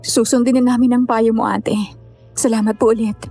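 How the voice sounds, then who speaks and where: A woman's voice: on the high side, level tone, brisk, dark tone, soft background music. A woman playing a polite, deferential young survivor in a horror audio drama. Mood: grateful. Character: grateful, questioning young woman, monster survivor.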